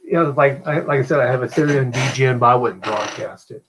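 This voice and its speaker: A man joining a livestream call, chatting casually in quick bursts. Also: mid-range voice, a bit bright, breathy edge. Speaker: guest on a call. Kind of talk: casual chat.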